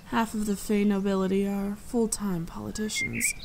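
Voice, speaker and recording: A woman playing a regal, dryly amused and protective fae leader in an intimate ASMR fantasy roleplay, speaking in a measured, composed, gently condescending way. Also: medium pitch, level tone, creaky, background noise, natural voice. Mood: dry, purposeful. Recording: forest ambience with birdsong. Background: birdsong and forest sounds.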